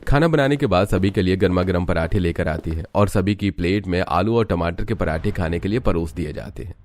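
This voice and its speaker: A man's voice: sits low, brisk, a little mellow. A man reading scripted narration for a storybook-style family tale.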